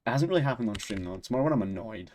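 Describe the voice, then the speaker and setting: A man's voice: medium pitch, lively intonation, racing, a little mellow, slight background noise. A man with a Scottish accent, chatting casually on a stream, rambling and laughing often. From Scotland. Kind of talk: casual chat while gaming and reading chat.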